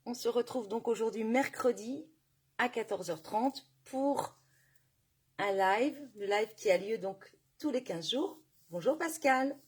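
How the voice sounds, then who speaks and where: A woman's voice: on the high side, animated, slow pace, slightly rough. A woman with a cold and sore throat, hosting a casual craft live stream and greeting viewers by name, chatty with many fillers.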